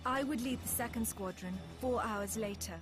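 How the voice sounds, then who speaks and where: An actress playing a military officer, narrating a video game war story in a measured, grave way. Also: female voice, a little high, lightly acted. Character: sober woman military officer recounting the war. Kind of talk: scripted narration. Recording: music behind.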